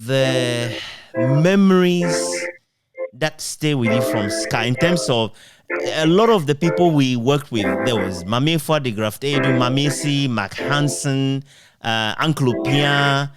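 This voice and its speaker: Middle-aged man, interviewer, mid-range pitch, casual conversation, prominent music in the background. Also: slow.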